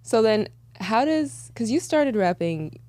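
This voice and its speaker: A woman's voice: a little high, very animated, slow, warm tone, no room sound. An upbeat woman in her thirties co-hosting a casual podcast. Her voice sits mid-height but rises and falls a lot, and it comes across close and dry. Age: 30s. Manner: upbeat, chiming in with short agreements. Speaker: podcast co-host.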